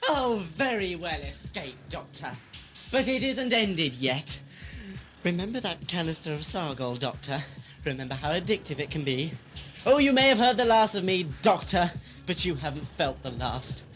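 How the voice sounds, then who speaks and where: A man's voice: high-pitched, sing-song, normal speed, fairly bright tone, soft background music. A man playing a megalomaniac deposed lord in a sci-fi audio drama, gloating and vengeful in grand theatrical speeches.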